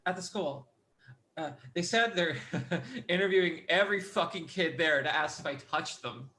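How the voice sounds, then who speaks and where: A woman's voice: on the low side, normal speed, a bit bright, natural voice. A woman playing a desperate, self-absorbed teacher in a play reading, urgent and insistent, stumbling over words. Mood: indignant, pushy.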